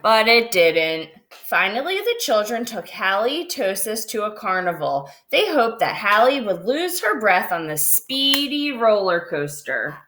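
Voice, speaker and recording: Female storyteller for a picture book read-aloud, very lively intonation, dramatic stretched phrases. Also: mid-range voice. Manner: animated, drawn-out dramatic phrasing.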